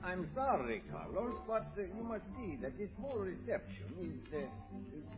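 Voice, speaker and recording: Formal dignitary, polite, hesitant, highly varied pitch, thin vintage radio sound. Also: male voice, very animated, normal speed. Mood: awkward, courteous. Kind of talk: old-time radio drama acting. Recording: tinny vintage radio with loud music.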